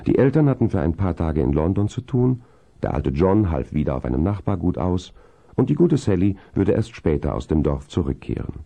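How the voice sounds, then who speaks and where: Deep, very dark-voiced male storyteller. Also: very animated, a little fast, gravelly. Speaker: narrator. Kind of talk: scripted storytelling narration, 1970s radio play. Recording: dull vinyl transfer.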